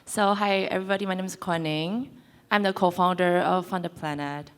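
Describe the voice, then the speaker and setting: A woman's voice: fairly low pitch, fairly even intonation, a little fast, clean tone. A woman in her twenties from Taiwan, speaking on a conference panel and persuading the audience with direct questions. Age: 20s. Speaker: panelist, co-founder. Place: conference hall.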